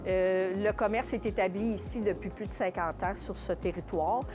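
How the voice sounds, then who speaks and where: A woman with a Quebec French accent giving casual interview answers as a shop owner, haltingly searching for her words. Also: medium pitch, over music. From Contrecœur, Quebec, Canada. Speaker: small business owner being interviewed.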